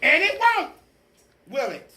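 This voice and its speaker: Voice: very high-pitched, huge pitch swings, bright, forceful tone, pitched above the performer's own voice, heavily acted. A storybook reader voicing a stern, scratchy-voiced, witchy substitute lady who barks out bossy, menacing commands. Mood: sly, menacing. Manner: barking, drawn-out commands. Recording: echoey room, distant mic, faint noise.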